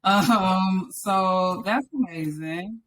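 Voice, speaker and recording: A woman's voice: medium pitch, drawn-out words, a bit bright. A woman hosting a casual interview, chatty and welcoming as she talks. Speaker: interview host.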